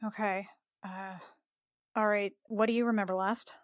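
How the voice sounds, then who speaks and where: A woman playing a worried, brisk young woman, close and dry. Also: mid-range voice, steady tone, dry recording, natural voice. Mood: focused, careful. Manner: fussing, clinical questioning. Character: worried, brisk woman checking on her injured boyfriend.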